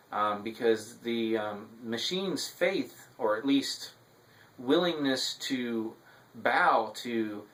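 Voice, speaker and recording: Male reviewer, mid-pitched voice, semi-scripted commentary, hesitant delivery with frequent filled pauses. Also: a bit bright, poor audio. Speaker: TV episode reviewer.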